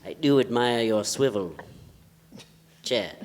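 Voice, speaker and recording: An actress playing a pompous, whimsical sleuth with florid speech in a live play reading. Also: female voice, extremely low pitch, expressive, takes it slowly, darkish tone, exaggerated voice. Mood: grandly whimsical. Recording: echoey theatre hall, live stage.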